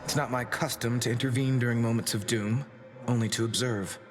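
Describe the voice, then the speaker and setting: A man playing a solemn, courteous god of doom in a video game, with formal, measured speech. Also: medium pitch, steady tone, lightly acted. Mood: solemn, regretful. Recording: music under the voice.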